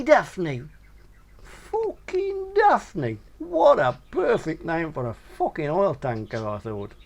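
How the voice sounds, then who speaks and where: A man's voice: mid-range voice, creaky, a little mellow, slight background noise. A man with a British accent reading a comic first-person short story in a theatrical, sweary way.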